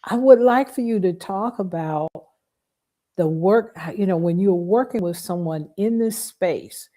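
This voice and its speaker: A woman's voice: medium pitch, a little slow, warm tone. A woman hosting a conversational interview, speaking in a reflective, roundabout way as she sets up her questions. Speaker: podcast host, interviewer.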